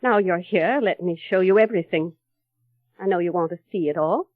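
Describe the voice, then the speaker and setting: An actress playing an obsessive, menacing housekeeper in a radio drama, speaking slowly and insinuatingly. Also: female voice, on the low side, no room sound, lightly acted. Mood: coldly insinuating. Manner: slow, insinuating, deliberate. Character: obsessive, menacing housekeeper devoted to her dead mistress. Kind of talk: 1930s radio drama acting. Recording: muffled old radio recording with music.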